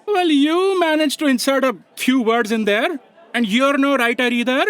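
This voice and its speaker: A man's voice: very high voice, moderate pace, a bit bright, clear, resonant tone, dry recording, a faint crowd. A man playing a fussy, officious copy aide in a sci-fi audio comedy, sarcastic, snippy and exasperated.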